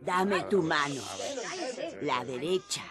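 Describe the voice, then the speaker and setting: A woman's voice: deep voice, a crowd in the background. An actress playing a wrinkled old village fortune-teller in a radio drama, theatrical, drawing out her exclamations and repeating herself. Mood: solemn, teasing. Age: older. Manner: theatrical, drawn-out exclamations and repetitions.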